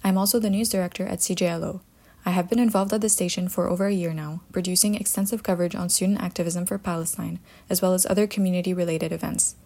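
A young woman from Lebanon, a student news director, reads her introduction quickly. Her tone is mellow and fairly low. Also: fairly even intonation. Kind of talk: scripted self-introduction.

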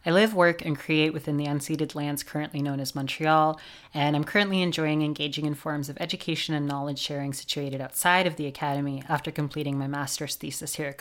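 A young adult woman introducing herself as a campus radio co-producer, in a measured, articulate manner. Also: sits low. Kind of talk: scripted self-introduction.

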